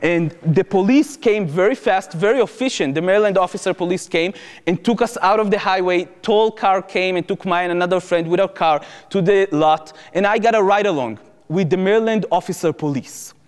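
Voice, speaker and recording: In a reverberant hall, a man with an Israeli accent delivers a lecture in a high-pitched voice. He tells it as a personal story, leaning on key words and repeating phrases. Pauses are placed for dramatic effect. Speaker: activist lecturer and former soldier. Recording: echoey hall with long reverb.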